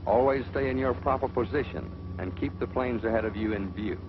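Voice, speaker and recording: An American man narrating a 1940s instructional film in a measured, authoritative, dryly sardonic manner. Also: mid-range voice, noisy background. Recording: dull old film soundtrack, music behind.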